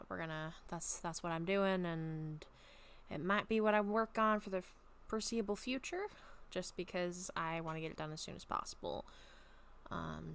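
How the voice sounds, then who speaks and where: A woman chatting casually and reading her own story aloud at home, a little raspy from a long talking session, rambling with many soft ums. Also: fairly low pitch. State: slightly raspy throat. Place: at home, bedroom.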